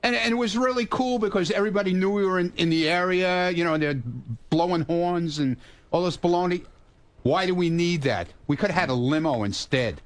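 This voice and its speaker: A man's voice: sits high, a little fast. A man in casual radio studio chat, plain-spoken and colloquial with a bit of grumbling. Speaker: radio show staff guest.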